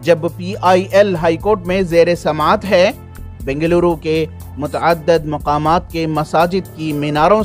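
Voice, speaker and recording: A man reading a formal, measured news voice-over as a reporter. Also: a little high.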